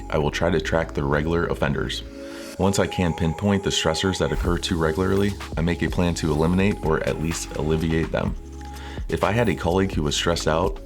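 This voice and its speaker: A man presenting scripted instructional narration in a measured, formal, clearly structured way. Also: extremely low pitch. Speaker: presenter of interview coaching videos.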